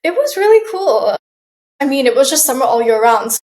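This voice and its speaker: A young woman from Malaysia, a guest on an interview show, chatting casually with frequent restarts and soft laughs. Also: high-pitched, very animated, moderate pace. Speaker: guest, aspiring model. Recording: roomy echo.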